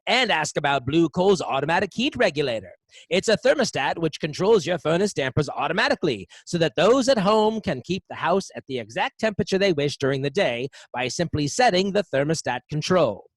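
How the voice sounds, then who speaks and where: An actor playing an earnest, polished 1930s radio pitchman delivering an emphatic advertisement. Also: big pitch swings, fairly quick, no echo. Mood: salesmanlike. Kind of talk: old-time radio advertisement.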